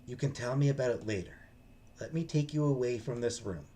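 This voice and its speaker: A man reads the part of a devoted, protective man in a visual novel. He speaks softly at a medium pitch, coaxing and reassuring, with gentle rises and falls. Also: a little fast, natural voice. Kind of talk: visual novel character read-aloud.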